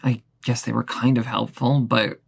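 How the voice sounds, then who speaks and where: A woman's voice: rumbling low, little pitch movement, normal speed, gravelly, slightly rough, no room sound, exaggerated voice. A woman playing a nervous, polite young vampire in an audio drama, hesitant and stammering. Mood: pleading.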